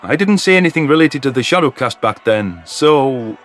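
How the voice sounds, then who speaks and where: A man voicing a remorseful older spiny alien, earnest and measured, gently defending others. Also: medium pitch, expressive, faint music behind, pitched below the performer's own voice, close to an everyday voice. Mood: explaining.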